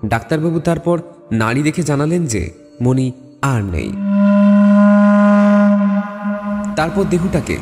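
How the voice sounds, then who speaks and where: A man's voice: on the high side, animated, moderate pace, a little mellow, faint music behind. An actor playing an earnest, insistent man who is a friend and narrator in a dramatic audio story. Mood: grave recounting. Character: urgent male friend bringing bad news, also narrating.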